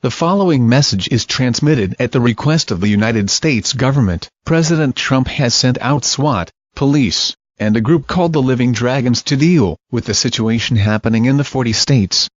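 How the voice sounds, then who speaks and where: A man's voice: mid-range voice, moderate pace, few pauses, dry recording. A man reading a scripted emergency broadcast announcement in a formal manner, as an alert announcer. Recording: dull lo-fi audio, loud noise.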